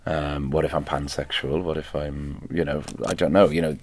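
A man's voice: extremely low pitch, level tone, normal speed, a little mellow, slight background noise. A Welsh man, a stand-up comedian and native Welsh speaker, chatting backstage and laughing often as he questions himself. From Wales. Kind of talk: casual backstage chat. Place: backstage at a venue.

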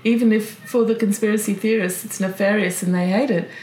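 A woman's voice: mid-range voice, steady tone, a little fast, darkish tone. A woman interviewing in a conversational style, with a curious, thoughtful way of talking. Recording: roomy echo, faint background noise.

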